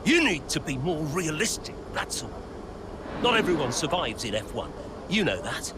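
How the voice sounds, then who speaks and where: An actor playing a stern, controlling middle-aged businessman father in a video game, measured, authoritative and dismissive, in a naturalistic style. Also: fairly high pitch, big pitch swings, noisy background. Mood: irritated, dismissive.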